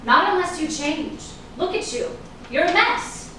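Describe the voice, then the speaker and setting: A woman's voice: very high voice, expressive, a little slow, sharp tone, heavily acted. An actress playing a guarded, ambitious woman fending off an old flame in a staged reading of a drama, with dramatic, emphatic delivery. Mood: guarded, firm. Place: echoey indoor room at a staged reading. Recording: echoey room, distant mic, noisy.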